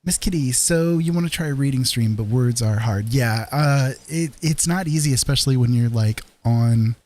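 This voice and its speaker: This is an American man with an average-pitched voice hosting a casual livestream. He speaks in a friendly, rambling manner, addressing his viewers directly. His delivery is informal and reassuring. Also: normal speed, a little mellow. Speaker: live streamer host.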